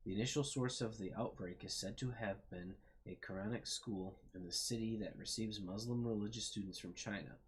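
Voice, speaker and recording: A man's voice: lowish voice, moderate pace, few pauses, slight background noise. A young man hosting a game video, reading text aloud and musing with fillers as he explores. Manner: thoughtful, trailing off with fillers. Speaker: gaming video host. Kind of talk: casual let's-play commentary, reading aloud.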